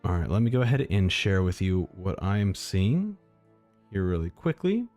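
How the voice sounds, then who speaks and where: A man's voice: deep voice, very animated, normal speed, a little mellow, faint music behind. A man chatting casually and thinking aloud as a live streamer reacting to game trailers. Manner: rambling, informal, thinking aloud.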